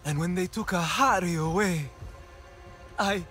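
A man's voice: sits high, bright, forceful tone, soft background music. A man acting a bitter, wounded young alien survivor in a dramatic video game scene, with an accusing manner. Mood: hurt, accusing. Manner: slow, accusing delivery.

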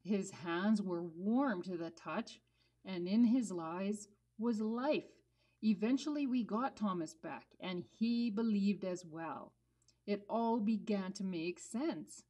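A woman playing a sober, earnest disciple puppet telling the Easter story to children in a measured way. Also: medium pitch, mellow. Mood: reverent.

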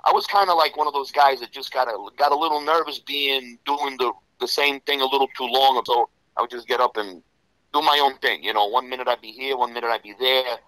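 A man's voice: mid-range voice, fairly even intonation, brisk, pushed and bright, dry recording, low-quality recording. A middle-aged man with a New York Italian-American accent, telling stories as an interview guest in a loose, rambling way, packed with "you know" fillers. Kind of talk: casual storytelling interview.

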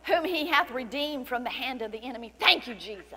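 A middle-aged woman pastor preaching a sermon with fervent praise and scripture reading, her voice slightly cracking from loudness. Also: high-pitched, expressive, a little fast. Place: large church stage indoors. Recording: long hall reverb, faint hum.

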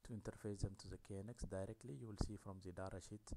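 A man giving a technical lecture over slides, talking in a halting way with many fillers. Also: lowish voice, steady tone, noisy background.

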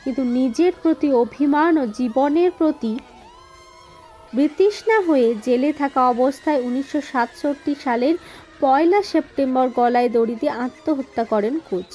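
A woman's voice: high voice, normal speed, mellow. A woman narrating a scripted horror story as the storyteller. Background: background music.

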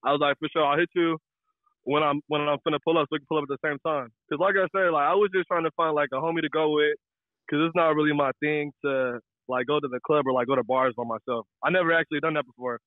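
A man's voice: on the high side, fairly even intonation, quick pace, dry recording, narrow, tinny audio. A young American man, a caller casually telling a personal story in slangy, profane language.

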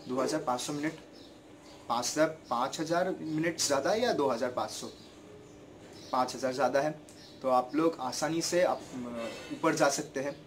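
A man's voice: mid-range voice, slight background noise. Young man with a sore throat vlogging. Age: young adult. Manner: earnest, repetitive, gestures a lot with his hands. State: sore throat, clearing his throat. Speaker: YouTuber giving channel-growth tips. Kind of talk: casual explanatory vlog to camera. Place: bedroom at home, indoors. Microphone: camera in front at desk distance.

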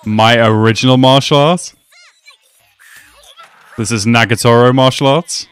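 A man's voice: medium pitch, fairly even intonation, measured pace, fairly bright tone, clear, resonant tone, soft background music. A man in his twenties giving slangy, amused reaction commentary in a casual way, close to a desk microphone. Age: 20s. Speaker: reaction video host. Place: small indoor room.

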